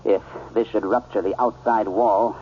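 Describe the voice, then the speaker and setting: An actor playing a composed surgeon who lectures clinically and with measured authority in a 1970s radio drama. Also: male voice, lowish voice, steady tone, close to an everyday voice. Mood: grave, focused. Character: composed male surgeon lecturing while operating. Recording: noisy, dull old radio broadcast.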